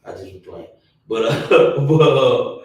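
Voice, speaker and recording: A man, hosting a heated casual debate and talking forcefully, with emphatic repetition. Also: mid-range voice, animated, takes it slowly. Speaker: stream host. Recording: echoey room, mic at a distance.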